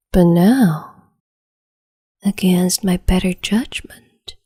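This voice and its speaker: A woman voicing a cruel, capricious empress in a whispered roleplay, slow, mocking and condescending, close to the mic. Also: on the low side, mellow, heavily acted. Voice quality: whispery, breathy.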